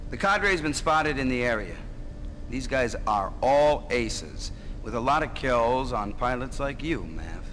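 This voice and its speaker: A man's voice: mid-range voice, animated, unhurried, a bit bright, a little hiss or hum, rough recording. A man playing a stern military intelligence officer, briefing pilots with grave, emphatic warnings. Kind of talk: dramatic military briefing acting.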